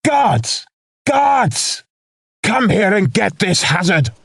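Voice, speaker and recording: An actor playing a haughty, fussy royal court lord in an audio drama, with a pompous, indignant, gravelly delivery. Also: male voice, high voice, sing-song, drawn-out words, a bit bright, no room sound, clean studio sound, heavily acted. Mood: alarmed. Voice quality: gravelly, raspy.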